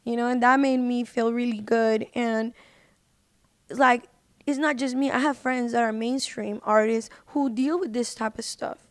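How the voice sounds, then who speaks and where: High-voiced woman chatting casually. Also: steady tone, measured pace, dry recording, clean studio sound. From United States. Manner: informal, slangy, emphatic with "like" and "literally". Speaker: podcast guest, independent singer. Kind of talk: casual chat.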